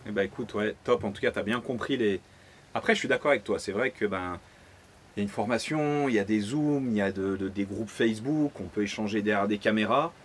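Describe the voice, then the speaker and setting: A man hosting a casual interview, talking in a relaxed, chatty way with loose phrasing. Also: lowish voice, brisk, slight background noise. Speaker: interviewer and host. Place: outdoors by a pool.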